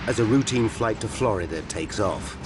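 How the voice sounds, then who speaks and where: Low-voiced British male documentary narrator, grave. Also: animated, normal speed. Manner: grave, suspenseful delivery. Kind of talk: dramatic scripted documentary narration. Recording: loud dramatic music underneath. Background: dramatic music and disaster sound effects.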